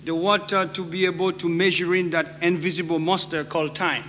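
A man from Haiti with a Haitian accent, preaching in short phrases with frequent halting pauses for an interpreter. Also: high-pitched, little pitch movement, bright, forceful tone. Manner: halting, pausing often. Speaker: guest preacher. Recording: noisy echoey hall with hum.

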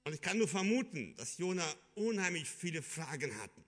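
A man from Kyrgyzstan preaching a sermon in an earnest, halting way, with many fillers and restarts. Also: on the high side, big pitch swings, fairly bright tone.

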